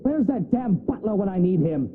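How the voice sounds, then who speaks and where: An actor playing an irritable, haughty rich husband in a visual novel, complaining. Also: male voice, high-pitched, very animated, moderate pace. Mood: irritated. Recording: tinny phone-like band with faint noise.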